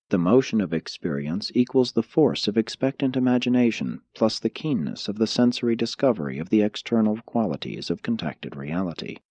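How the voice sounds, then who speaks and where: A man narrates an audiobook in a low voice with a husky edge. His delivery is formal and measured, yet the intonation moves widely as he reads scripted prose. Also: a little mellow, dry recording. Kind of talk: scripted reading of religious philosophy.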